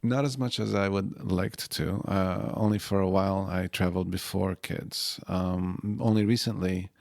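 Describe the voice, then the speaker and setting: A middle-aged man from Israel whose first language is Arabic, speaking as an interview guest in a halting way with fillers and restarts. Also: deep voice, fairly even intonation, vocal fry, a little mellow, dry recording, clean studio sound. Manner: halting, restarts with fillers, short agreeing answers. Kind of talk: casual interview.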